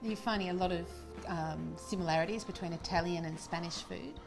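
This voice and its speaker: A woman's voice: lowish voice, brisk, gravelly, over music, rough recording. A woman interviewing a chef in a light, conversational way. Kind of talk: friendly interview questions.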